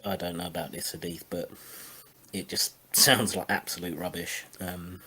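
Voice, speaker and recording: Man, low voice, rough and breathy, casual commentator, sarcastic, hesitant phrasing. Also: fairly even intonation, normal speed, sharp tone. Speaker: commentator on discussion show.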